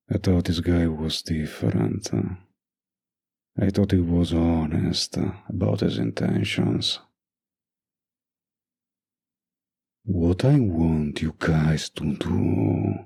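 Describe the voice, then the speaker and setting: Casting: male actor for a young mob boss boyfriend in romantic audio roleplay; raspy, unhurried drawl, menace mixed with tenderness. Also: deep voice, darkish tone, full character voice. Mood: cold, menacing. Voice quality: gravelly, husky. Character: dominant young mafia boss boyfriend, menacing yet doting.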